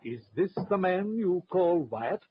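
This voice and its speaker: Guy doing a courteous ship officer, kinda high voice, old timey radio horror, dull noisy sound. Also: sing-song, takes it slowly, full character voice. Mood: courteous, grave. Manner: formal, polite, hesitant. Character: courteous ship's officer recovering bodies from the sea.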